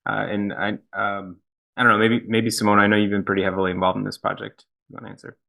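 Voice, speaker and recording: Fast, bright-voiced male host. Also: fairly low pitch, flat delivery, creaky. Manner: quick, upbeat, loose restarts. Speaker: host, head of product. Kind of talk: hosting a video call panel. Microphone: video call.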